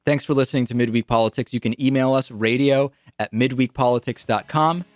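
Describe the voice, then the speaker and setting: A man's voice: medium pitch, fairly even intonation, a little fast, no echo. A man with an American accent giving political commentary as a radio talk show host.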